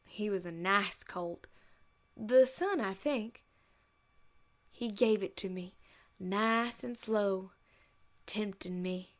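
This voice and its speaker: A woman's voice: medium pitch, slow pace, long pauses, close to an everyday voice. A woman voicing a folksy young farm pony mare in a cartoon fan story, savoring her tale as she tells it. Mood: savoring, nostalgic. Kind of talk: cartoon fan fiction voice acting.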